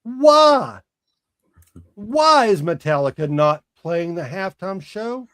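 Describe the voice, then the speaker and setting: A man in his forties speaks in a somewhat high voice with a very dry, close sound. He hosts a casual sports talk podcast and delivers opinions emphatically, often as exasperated rhetorical questions. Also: very animated, slow pace, a bit bright, no room sound. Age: 40s.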